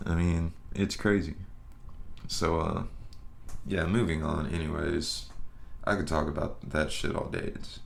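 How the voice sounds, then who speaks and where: Deep-voiced rambling young man. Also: flat delivery, slow, gravelly, long pauses, a little hiss or hum. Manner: rambling, loose, swears casually. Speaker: podcast host. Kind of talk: casual solo podcast chat.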